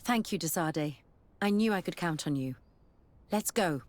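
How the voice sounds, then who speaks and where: A woman's voice: on the low side, animated, moderate pace, darkish tone, natural voice. A woman playing an earnest, inquisitive scholar in a fantasy video game. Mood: grateful.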